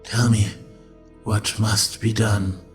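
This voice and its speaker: Man acting loyal changeling medic, low-pitched, slow, grave, deferential, faint music behind. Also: level tone. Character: loyal, resigned male changeling medic, devoted to his queen. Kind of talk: audio drama acting.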